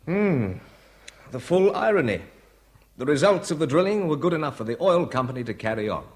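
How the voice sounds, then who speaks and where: An actor playing a wry, affectionate husband in a radio play, speaking tenderly and reflectively. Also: male voice, on the high side, very animated, brisk. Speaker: radio drama lead. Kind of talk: 1970s BBC radio play acting.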